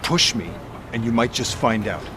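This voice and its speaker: An actor playing an abrasive, contemptuous boss in a video game, sarcastic and commanding. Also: male voice, mid-range voice, expressive, moderate pace, close to an everyday voice. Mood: menacing. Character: abrasive, menacing male boss, contemptuous and bossy. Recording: clear background noise.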